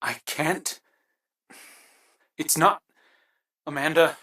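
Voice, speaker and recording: A man's voice: fairly high pitch, drawn-out words, sharp tone, exaggerated voice. An actor playing a vulnerable, guilt-ridden young man in a game, earnest and frightened, speaking in hesitant, broken phrases. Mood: anguished, afraid. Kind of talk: dramatic game voice acting. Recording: roomy, slightly distant voice.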